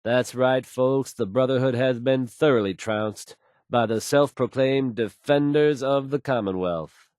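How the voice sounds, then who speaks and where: A man's voice: mid-range voice, a little slow, darkish tone, no echo, natural voice. A man playing an assured, folksy radio DJ in a video game, delivering news bulletins to listeners with fluent, polished ease. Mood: proud, assured.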